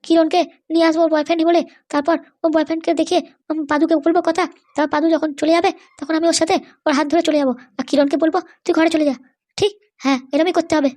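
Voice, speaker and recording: Woman as scheming young cartoon woman, squeaky high pitch, rapid-fire, fretful, talking to herself. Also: fairly even intonation, a little mellow. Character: scheming young woman plotting around her secret boyfriend. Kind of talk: amateur comedy cartoon dialogue.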